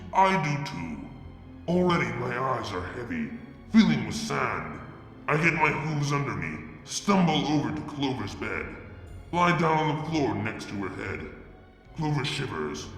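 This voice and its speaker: A man reading a fan fiction story aloud, in a measured, expressive way. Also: medium pitch, creaky. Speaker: fanfic reader. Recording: echoey room with music. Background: music.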